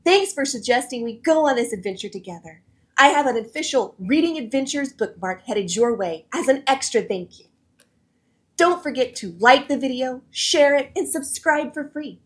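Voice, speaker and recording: High-voiced woman hosting for children. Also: moderate pace. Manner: friendly, animated, encouraging. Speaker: children's read-aloud show host. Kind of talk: scripted presenting to children.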